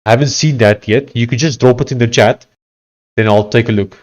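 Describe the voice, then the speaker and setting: A man's voice: medium pitch, darkish tone. A man from South Africa with an Afrikaans accent, chatting casually on a livestream and reading messages and text aloud. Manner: conversational, reads text aloud haltingly. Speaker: livestreaming coder.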